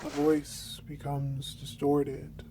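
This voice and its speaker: An actor voicing the sea as an ancient, reproachful being in a radio play, speaking in measured, halting phrases. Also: medium pitch, monotone, very noisy. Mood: brooding, poetic. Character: the Irish Sea, ancient, slow, reproachful body of water.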